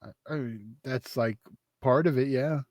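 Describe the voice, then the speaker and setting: A man's voice: mid-range voice, a little slow, darkish tone, dry recording. A man co-hosting a casual film review show, teasing his partner and laughing often. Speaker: podcast co-host.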